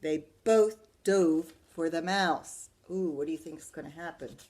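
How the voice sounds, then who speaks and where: A woman with a fairly low voice reads a picture book to children. She is expressive, like a librarian at story time, and breaks off to put questions to her listeners. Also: unhurried. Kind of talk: children's story read-aloud with asides to young listeners.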